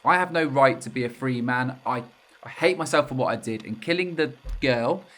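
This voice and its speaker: An actor playing a bitter, self-loathing widowed ex-convict confessing to murder in an audio drama, with halting delivery. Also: male voice, medium pitch, a bit bright, lightly acted.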